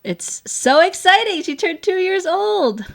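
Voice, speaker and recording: A woman's voice: very high-pitched, big pitch swings, unhurried, gravelly. A woman co-hosting a family vlog in a chatty, upbeat and enthusiastic way. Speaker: show host.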